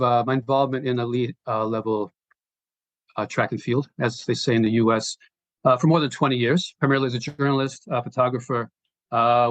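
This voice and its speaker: A middle-aged man with an American accent, giving a measured, reflective, conversational account as an interview guest. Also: medium pitch, fairly bright tone, no echo, poor audio. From United States, born in Slovenia. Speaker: interview guest, sports sustainability executive.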